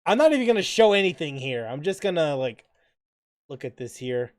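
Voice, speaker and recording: A woman's voice: low voice, big pitch swings, brisk. A woman giving casual livestream commentary on a game, with wry, muttered asides. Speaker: game streamer.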